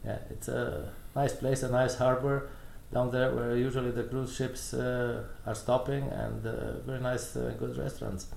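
Man, gravelly voice, hesitant, roomy echo, faint background noise. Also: medium pitch, flat delivery, a little mellow. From Romania. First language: Romanian. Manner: hesitant, many pauses and fillers, good-humored. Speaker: guest, restaurant owner. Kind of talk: casual interview answers.

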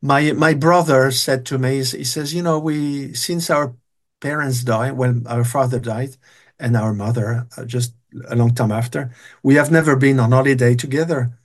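Older male guest, middle pitch, halting anecdotal delivery. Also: steady tone. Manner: rambling, hesitant, many restarts and fillers. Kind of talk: storytelling interview.

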